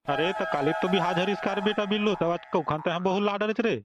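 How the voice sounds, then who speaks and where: A man's voice: high voice, brisk, lightly acted. A man voicing a grumbling but affectionate old village grandfather in a comic cartoon, with a Chhattisgarhi accent and a rambling, folksy way of talking. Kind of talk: comic dialogue in an amateur animated cartoon. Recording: music behind the dialogue.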